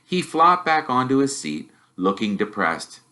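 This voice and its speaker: A man reading a children's novel aloud as an expressive storyteller. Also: mid-range voice, a little slow. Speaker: storybook reader, teacher.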